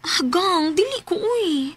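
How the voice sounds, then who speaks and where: A woman's voice: very high voice, lively intonation, takes it slowly, a bit bright, exaggerated voice. A woman with a Cebuano Filipino accent playing a forthright young single mother in her early twenties in a radio drama. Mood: insistent. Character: forthright 21-year-old single mother eager for love.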